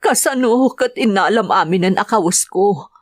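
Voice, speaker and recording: A woman's voice: mid-range voice, expressive, moderate pace, no room sound, clean studio sound, close to an everyday voice. An actress playing a distressed, pleading young woman held captive in an Ilocano radio drama. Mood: weary, pleading.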